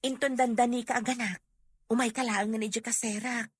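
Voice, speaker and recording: A woman's voice: on the high side, fairly even intonation, fairly quick, breathy edge, dry recording, studio quality. A woman playing a kind, supportive young best friend in an audio drama. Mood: friendly, caring.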